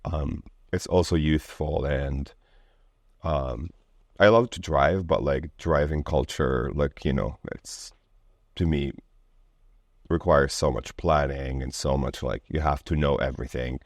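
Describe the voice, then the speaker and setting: A man from Lebanon with a very deep voice talks as a guest in a relaxed interview. He rambles informally, leaning on "like" and "you know". The sound is dry, with little room in it. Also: measured pace, dry recording. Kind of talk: casual conversational interview.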